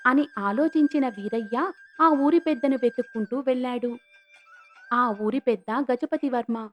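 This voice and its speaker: Female storyteller, high voice, slightly dull lo-fi track with music underneath, scripted folk-tale narration. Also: a little slow. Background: background music.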